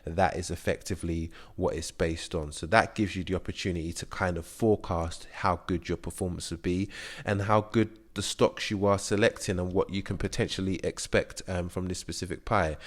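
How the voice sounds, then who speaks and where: Deep-voiced man explaining an app. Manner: chatty, explanatory, many fillers. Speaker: investing channel host. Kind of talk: screen-share app walkthrough.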